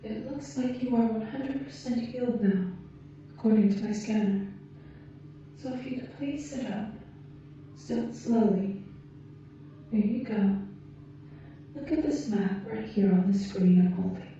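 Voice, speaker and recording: A woman acting as a solemn, gentle alien protector, very dark and soft-edged in tone. She speaks slowly and with measured pauses, like an ASMR healer lecturing kindly, in a heavily echoing space. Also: fairly high pitch, close to an everyday voice. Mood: calm, caring. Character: gentle, solemn female alien protector healing an injured human. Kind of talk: ASMR roleplay. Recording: muffled, very echoey, distant, hissy background noise.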